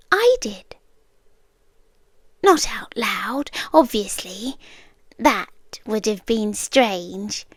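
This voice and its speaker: A British woman playing a polite, obsessive yandere intruder in an ASMR roleplay, soft-spoken and often slipping into whispers, coolly teasing. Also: a little high, no echo, studio quality, heavily acted. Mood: calm, teasing. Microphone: close microphone, dry.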